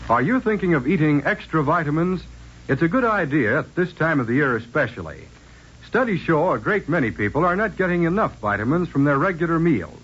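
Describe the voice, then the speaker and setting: A dull vintage radio recording carries a male commercial announcer. His somewhat high voice is rough and husky, with highly animated intonation. He reads scripted 1940s advertising copy in an emphatic, instructional way. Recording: muffled old radio recording.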